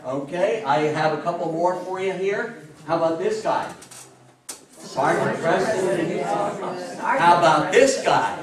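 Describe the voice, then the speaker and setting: An American man in his sixties speaks to a small audience like a lively storyteller. His voice sits a little high. He is picked up from a distance in a reverberant meeting room. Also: takes it slowly, a loud crowd. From New York State, US. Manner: animated, theatrical storyteller with rhetorical questions. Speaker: lecturer giving a history talk. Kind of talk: interactive public talk to an audience. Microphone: far camera. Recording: echoey room, distant camera microphone.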